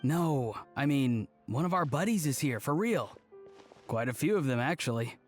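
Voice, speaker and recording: A man voicing a casual, playful young pal in a video game, with informal, drawn-out phrasing. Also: mid-range voice, animated, faint music behind, close to an everyday voice. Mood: playful, insistent.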